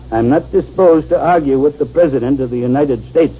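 A man's voice: mid-range voice, normal speed, over loud music, lightly acted. Casting: male actor as authoritative army general, mid-range voice, 1950s science fiction radio play, dull hissy recording. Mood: urgent, dutiful. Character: brisk commanding male army general, gentle with the sick. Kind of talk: 1950s radio drama acting.

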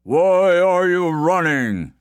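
A man voicing a huge, gruff male forest giant who growls his lines slowly. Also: high voice, sing-song, dry recording, pitched above the performer's own voice, exaggerated voice. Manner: slow, growling delivery.

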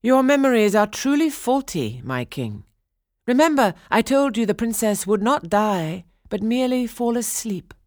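A woman's voice: fairly high pitch, big pitch swings, normal speed, a little mellow, dry recording, studio quality, pitched above the performer's own voice. A woman voicing a good fairy in a storybook, commanding, firm and emphatic as she declaims. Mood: reassuring, firm.

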